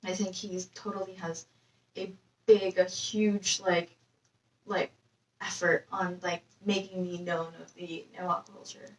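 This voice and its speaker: A woman's voice: on the low side, flat delivery, measured pace. Hesitant young woman, echoey distant mic. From Nepal. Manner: hesitant, many fillers. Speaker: interviewee. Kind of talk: casual interview answers. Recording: echoey room, distant mic, faint noise.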